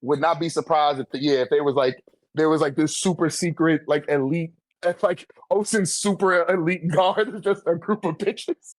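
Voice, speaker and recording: A man's voice: on the high side, steady tone, moderate pace, no room sound. An American man chatting casually in a fan debate, swearing freely and leaning on slang and filler phrases. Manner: slangy, profane, repeats "like" and "you know what I'm saying".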